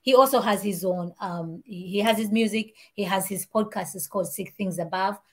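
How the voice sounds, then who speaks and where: A woman with a Malawian accent talks through her story as an interview guest, lively and gesturing as she speaks. She sits at home and comes through a video call headset. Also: mid-range voice, moderate pace. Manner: animated, talks with her hands. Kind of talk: casual interview chat. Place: home room with shelves and warm lamp. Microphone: video call, earbuds.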